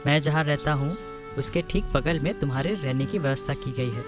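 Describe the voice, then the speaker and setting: Helpful cartoon buddy, male performer: young, practical, slightly high voice, friendly advice over prominent music. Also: a little fast, close to an everyday voice. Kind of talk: Hindi cartoon story acting.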